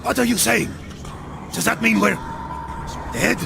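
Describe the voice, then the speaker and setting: A cocky fighter in a crusade video game. The voice is high and creaky, full of incredulous swagger, with music playing behind. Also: male voice, a little slow, heavily acted. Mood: baffled. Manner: incredulous, theatrical bravado. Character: wry, cocky Spanish male crusade fighter. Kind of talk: dramatic video game acting.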